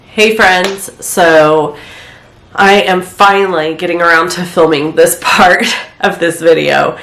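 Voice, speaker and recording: A woman with a stuffy head cold chats casually while she clears out her home craft room. She rambles a bit and uses lots of filler words. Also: fairly low pitch, steady tone, slow, fairly bright tone. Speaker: crafting vlogger. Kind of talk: casual chat while decluttering. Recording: echoey room, faint noise.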